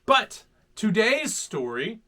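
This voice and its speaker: Man, storybook read-aloud host, high pitch, bright tone, playful, dramatic. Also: very animated, slow. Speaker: host reading children's stories.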